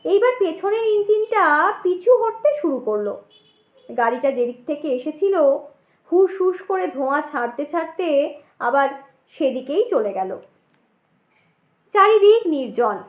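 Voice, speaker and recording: A woman reading a scripted ghost story as an expressive audio narrator. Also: high voice. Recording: roomy echo on the voice.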